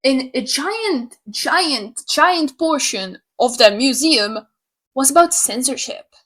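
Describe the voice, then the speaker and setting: Animated, sarcastic young woman from Slovakia. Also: high-pitched, takes it slowly, husky. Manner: sarcastic, animated, swears freely. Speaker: YouTuber. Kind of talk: opinion rant to camera.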